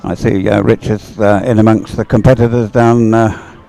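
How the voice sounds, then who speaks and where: A man giving live sports commentary in an unhurried, conversational way. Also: fairly low pitch, little pitch movement, gravelly, dark tone, faint music behind, rough recording. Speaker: race commentator.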